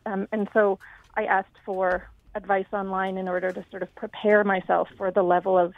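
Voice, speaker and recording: A woman's voice: mid-range voice, flat delivery, moderate pace, no room sound. Woman guest on a tinny line. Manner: thoughtful, with frequent ums. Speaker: city council candidate. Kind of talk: live radio interview.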